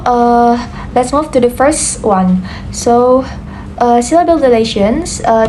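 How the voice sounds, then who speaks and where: A young adult woman giving a student class presentation, often pausing with "uh" and "okay". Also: on the high side, darkish tone, slight background noise, poor audio. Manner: hesitant, frequent "uh" and "okay".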